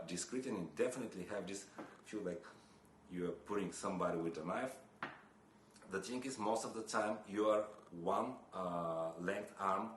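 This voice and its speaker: A young man from Bulgaria, talking casually to camera about photography, hesitant with many fillers and restarts. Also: low voice. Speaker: photography vlogger. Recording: echoey room with faint noise.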